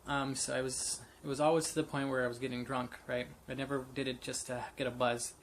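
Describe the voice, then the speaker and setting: A man's voice: mid-range voice, little pitch movement, a little fast, a little hiss or hum, low-quality recording. A man in his late twenties telling a personal story off the cuff, candid and rambling with many fillers and restarts. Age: 20s. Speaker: vlogger. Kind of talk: unscripted personal story to camera.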